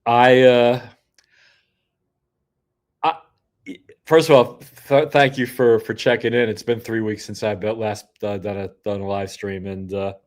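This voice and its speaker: A man's voice: fairly low pitch, fairly even intonation, unhurried, long pauses. An older man hosting a solo livestream, rambling through casual commentary with frequent restarts and asides, sniffly from a cold. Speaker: host.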